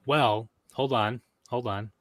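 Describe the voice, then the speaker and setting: A man's voice: mid-range voice, steady tone, takes it slowly, a bit bright, no room sound. A man co-hosting a podcast, casually working through a lore theory, thinking aloud with fillers and restarts. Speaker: podcast co-host discussing game lore.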